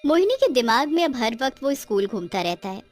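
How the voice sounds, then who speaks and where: A woman's voice: on the high side, big pitch swings, a little fast, soft background music. A woman narrating a scripted cartoon story in a dramatic storytelling style.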